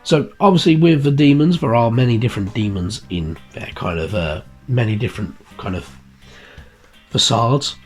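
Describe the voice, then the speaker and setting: A man hosting a miniature painting channel, croaky and bunged up with a heavy cold, chatting casually with lots of fillers and restarts. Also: medium pitch, normal speed. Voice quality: husky. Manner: rambling, many fillers and restarts. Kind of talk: casual video chat about a magazine issue. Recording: background guitar music, cheap mic.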